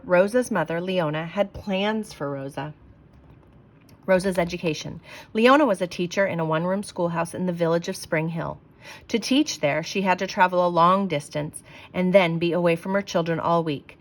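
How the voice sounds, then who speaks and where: A woman reading a children's book aloud in a clear, expressive storytelling manner. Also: fairly low pitch.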